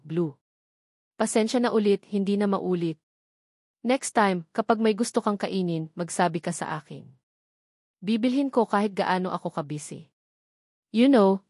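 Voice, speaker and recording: A woman's voice: medium pitch, a little mellow, no room sound, studio quality. A woman reading a scripted romance novel aloud in the first person. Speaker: audiobook narrator.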